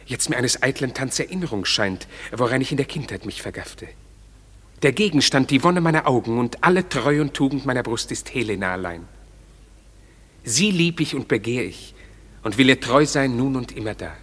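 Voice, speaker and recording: A man's voice: mid-range voice, a bit bright, husky. An actor playing a smitten young nobleman who speaks in an earnest, wondering way in a classic radio play.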